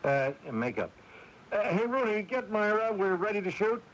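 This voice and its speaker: A man's voice: sits high, sing-song, normal speed. An actor playing a diligent, hesitant and plain-spoken cameraman in a 1980s radio drama. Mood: busy. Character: puzzled, diligent male film cameraman. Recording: dull, noisy 1980s radio taping.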